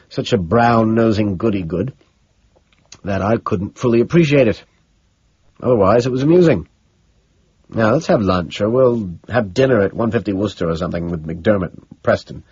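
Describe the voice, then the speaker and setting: A man's voice: on the low side, expressive, normal speed, a little mellow, husky, no room sound, dull audio. A man voicing a smug, dismissive, upper-class socialite with an affected, condescending drawl.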